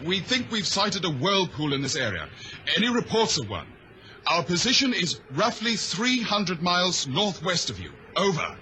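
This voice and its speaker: A man's voice: high-pitched, sing-song, moderate pace, bright, forceful tone, full character voice. A man playing an anxious ship's radio operator in an old radio drama, urgent and procedural. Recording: noisy vintage radio recording.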